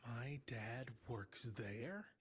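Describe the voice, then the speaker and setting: A man's voice: medium pitch, very slow, breathy edge. A man playing a naive, downcast grown man raised by elves, in a live table read of a comedy film. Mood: gentle.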